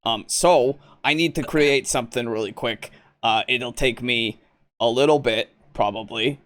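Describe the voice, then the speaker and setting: A man playing a tabletop roleplaying game, chatting casually and haltingly as he thinks aloud. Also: medium pitch, a bit bright, a little hiss or hum. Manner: halting, thinking aloud while checking things. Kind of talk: casual game-session chat.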